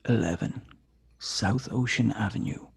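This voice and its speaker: A man playing a menacing killer who taunts by phone in a table read, slow and deliberate with a raspy, whispery voice. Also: lowish voice, heavily acted. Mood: cold, commanding.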